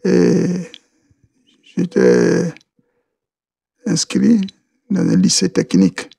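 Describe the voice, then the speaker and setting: A man from Côte d'Ivoire with a raised, gravelly voice and a dark, husky tone, answering interview questions. He talks slowly, restarts often and repeats words as he goes. The sound is dry and close. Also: high-pitched, wildly swooping pitch, dry recording. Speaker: podcast guest.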